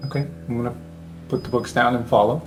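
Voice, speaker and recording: A man's voice: medium pitch, steady tone, quick pace, over music, low-quality recording. A man casually narrating his character's actions as a player in a tabletop roleplay.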